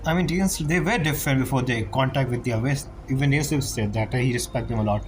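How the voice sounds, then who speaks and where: Male game streamer, mid-pitched, informal non-native phrasing, casual reactions over clear music. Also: fast. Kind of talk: casual playthrough commentary.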